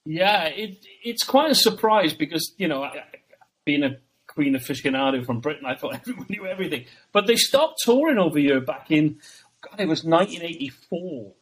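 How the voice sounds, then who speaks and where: A man's voice: fairly high pitch, expressive, moderate pace, breathy edge, poor audio. A man from Wales, chatting casually as a guest on a podcast and laughing between his stories. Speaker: guest, tribute band singer.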